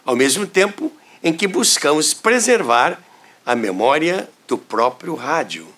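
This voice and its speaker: Slow, animated Brazilian man narrating. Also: a little high. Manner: deliberate, rhetorical, dramatic phrasing. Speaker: host of a radio history show. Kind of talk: scripted narration. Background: music.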